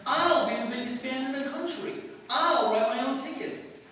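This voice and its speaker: A man playing a brash, self-serving boyfriend in an old-time radio drama, brisk, bossy and excitable. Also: very high voice. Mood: excited. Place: live stage in a hall, distant audience camera. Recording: echoey hall stage from far off, noisy.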